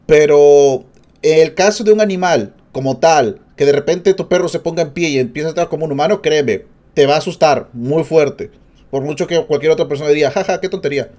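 Mellow, higher-voiced man riffing. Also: a little fast. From Peru. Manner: joking, rambling asides. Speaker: streamer reacting to a video. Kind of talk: casual reaction commentary.

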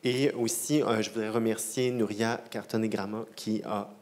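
A man moderating a panel speaks. He is brisk and courteous as he thanks the speakers on a stage. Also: lowish voice, steady tone, a little mellow. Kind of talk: closing remarks. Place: large dark stage with audience.

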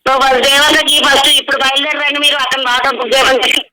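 Sharp, rapid, high-pitched scolding woman. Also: level tone, a little hiss or hum. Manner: scolding, indignant. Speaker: mother being prank-called. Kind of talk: casual phone argument.

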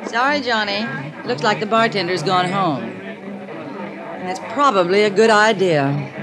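A woman's voice: mid-range voice, measured pace, over a noisy crowd, natural voice, rough recording. An actress playing a world-weary, self-mocking woman who drinks too much, wry and resigned, in a 1950s radio drama. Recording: old 1950s radio recording with music.

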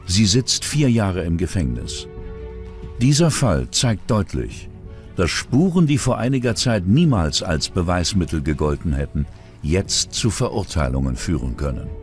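A man narrates true crime in a very low voice with animated intonation. Faint music plays underneath. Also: a little slow. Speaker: documentary narrator.

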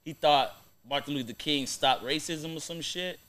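A man's voice: a little high, moderate pace, a bit bright. A young American man hosting a podcast, talking in casual, slangy, profane banter and arguing his points. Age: young adult. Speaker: podcast host. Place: small podcast studio, indoors. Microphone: close boom-arm microphone.

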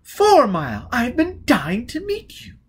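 Man putting on a gushing high-pitched society lady. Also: wildly swooping pitch, unhurried, a little hiss or hum, exaggerated voice. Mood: eager curiosity. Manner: exclaiming, theatrical.

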